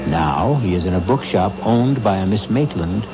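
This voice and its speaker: A man's voice: sits low, lively intonation, background noise. Dramatic, swooping male radio narrator. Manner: measured, dramatic. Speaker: host and narrator. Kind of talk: suspenseful scripted radio narration. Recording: dull old radio recording. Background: music.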